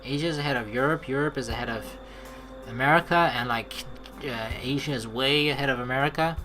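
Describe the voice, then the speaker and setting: A woman doing casual let's play commentary and reading game dialogue aloud in an expressive, playful, sweary way. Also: a bass voice, fairly quick, faint music behind, poor audio.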